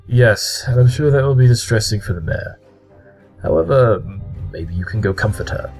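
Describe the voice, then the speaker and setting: A man's voice: medium pitch, expressive, measured pace, husky, faint music behind, rough recording. A man playing an aloof, haughty pony detective in an audio drama, precise and pedantic in speech. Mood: smug, measured. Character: aloof male pony detective, Sherlock Holmes parody.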